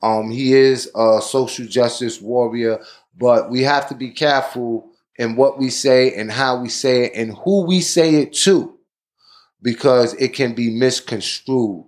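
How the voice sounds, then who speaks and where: Male podcast host, slow pace, deliberate, preaching tone. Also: mid-range voice. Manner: deliberate, preaching tone, frequent "you know". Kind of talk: podcast commentary.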